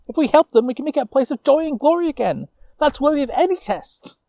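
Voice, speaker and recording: Man putting on a high, eager young witch. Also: expressive, fast talker, vocal fry, mellow, no room sound, full character voice. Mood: eager, persuasive. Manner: eager, persuasive. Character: excitable idealistic young female witch knight.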